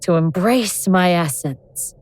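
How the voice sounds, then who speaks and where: Vengeful ancient goddess in human form: lower register, wry, world-weary, scornful, audio drama. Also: female voice, lively intonation, a little mellow, no echo. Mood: bitter, scornful. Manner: sardonic, world-weary, deliberate explaining.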